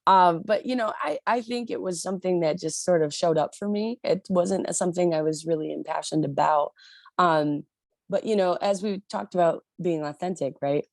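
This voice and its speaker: A woman from the Detroit area, a rock singer with a low voice, chatting loosely in an interview with many restarts. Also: normal speed, creaky, dry recording, clean studio sound. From Detroit area, USA. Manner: rambling, many restarts and fillers. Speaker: rock band lead singer, guest. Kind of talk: casual interview chat.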